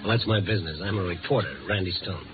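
An actor playing a wry, persistent newspaper reporter in a 1950s radio drama. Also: male voice, sits low, natural voice. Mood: wry, probing. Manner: wry, fast, conversational. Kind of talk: 1950s radio drama acting and first-person narration. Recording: tinny 1950s radio recording, loud music.

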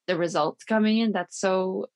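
A woman's voice: medium pitch, moderate pace. A woman hosting a podcast interview, introducing her guest and asking questions in an upbeat, encouraging, conversational way. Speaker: podcast host.

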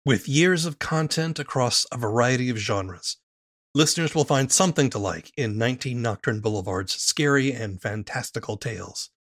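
A man's voice: medium pitch, moderate pace, fairly bright tone. A man hosting a podcast, reading scripted narration and putting questions to a guest. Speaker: podcast host.

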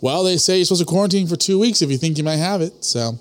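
A man's voice: high voice, fast. A young man co-hosting a podcast in casual banter, full of sarcastic ribbing. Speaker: podcast co-host.

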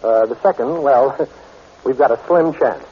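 An American actor playing a cool, good-hearted reformed thief in a 1940s radio drama, wry and assured. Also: male voice, mid-range voice, unhurried, slightly rough. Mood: reassuring. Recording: muffled old radio recording.

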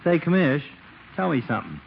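An actor playing a folksy, teasing small-town barber in 1940s radio comedy, talking in slangy, needling style. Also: male voice, on the high side, very animated, fairly quick, gravelly. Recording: muffled, faintly noisy 1940s radio recording.

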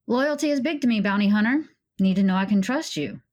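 A woman plays a hard-bitten female mercenary in a sci-fi audio drama, loyal but quick to threaten. She talks in short, blunt, dry lines. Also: mid-range voice, lively intonation, darkish tone, close to an everyday voice.

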